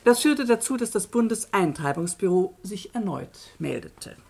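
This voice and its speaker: A woman playing a dryly ironic jurist who narrates and lectures deliberately in a German radio play. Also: mid-range voice, lively intonation, gravelly, a little mellow, a little hiss or hum. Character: sharp, lecturing female jurist telling a case to students.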